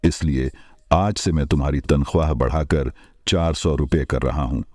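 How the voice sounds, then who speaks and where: A man playing a kind, shrewd older village merchant in a cartoon story, speaking in a measured, advising way. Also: extremely low pitch, a little mellow, dry recording, clean studio sound. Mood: calm, reassuring. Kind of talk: Hindi cartoon moral-story voice acting.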